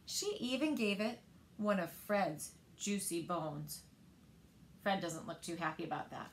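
A woman in her thirties reads picture books aloud to young children with expressive, deliberate phrasing, recorded indoors in a living room. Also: on the low side, a little hiss or hum. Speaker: storyteller at a library story time.

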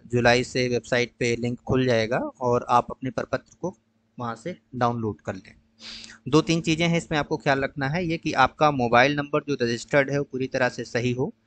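A man patiently walking viewers through an online counselling process in a screen-share tutorial, pausing often with "uh". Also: mid-range voice, no room sound. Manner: patient, explanatory, mixes English phrases with frequent "uh" pauses. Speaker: tutor explaining a college allotment process.

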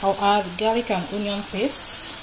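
A woman's voice: medium pitch, little pitch movement, normal speed, noisy background. A woman thinking aloud in a casual cooking vlog as she works in her home kitchen. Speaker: home cook vlogger. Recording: loud music behind the voice.

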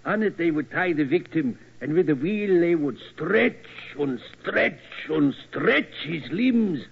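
An actor playing a polite German castle guide with a grim, deliberate, dramatic manner in an old radio play. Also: male voice, a little high, expressive, vocal fry. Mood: grimly dramatic. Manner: deliberate, inverted foreign word order. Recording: dull old radio recording.

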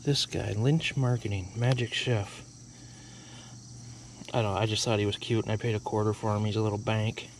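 A man's voice: lowish voice, fairly even intonation, moderate pace. A middle-aged man outdoors by his car, casually talking through his haul as a reseller, thinking aloud and often unsure what things are worth. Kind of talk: casual vlog narration. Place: outdoors by a car trunk, rural. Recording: outdoor noise with insects.

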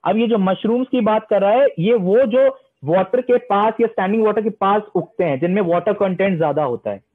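A young man teaching homeopathy, reading English passages slowly and then explaining them, often answering his own questions. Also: sits high, slightly rough. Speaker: homeopathy lecturer. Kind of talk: online lecture mixing Hindi and English. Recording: tinny phone-line sound.

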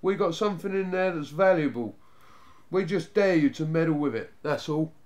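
A bossy young gang leader from a children's storybook, played by a male narrator. The voice is pushed up high and loud, rough and scornful, with sneering street-kid talk. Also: lively intonation, moderate pace, lightly acted. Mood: taunting.

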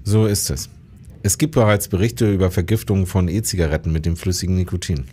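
Man as a low, mellow police detective. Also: steady tone, fast, slightly rough. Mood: matter-of-fact. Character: matter-of-fact, obliging male police detective. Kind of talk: radio crime drama acting.

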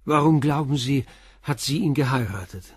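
An actor playing an unflappable police inspector in a crime radio play, putting terse, calm, probing questions. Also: male voice, medium pitch, normal speed, slightly rough, dry recording. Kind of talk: German radio crime drama acting.

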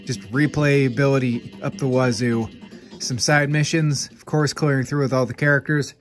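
A man's voice: medium pitch, fairly even intonation, soft background music. A man hosting a gaming channel, chatting casually while he recommends games, sounding a little stuffed up from congestion and keeping an upbeat, chatty manner. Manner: upbeat, chatty, quick asides. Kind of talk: casual game recommendations to camera. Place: room with game shelves, indoors.

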